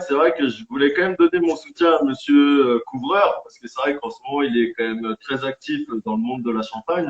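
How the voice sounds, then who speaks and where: A man who is an independent champagne winegrower, guesting in a live-streamed interview and explaining things in an earnest, somewhat halting way. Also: medium pitch. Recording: echoey, dull lo-fi sound.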